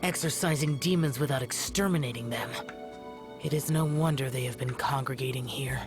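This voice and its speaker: Casting: solemn young male demon slayer, very low-pitched, curt and formal, game music behind. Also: moderate pace. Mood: grave, disdainful. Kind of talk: dramatic video game voice acting.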